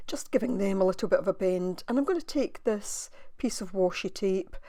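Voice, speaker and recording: A woman with a slightly croaky voice, musing softly as she narrates her crafting in a voice-over. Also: medium pitch, no room sound, studio quality. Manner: soft, musing, thinking aloud with pauses. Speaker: craft video maker.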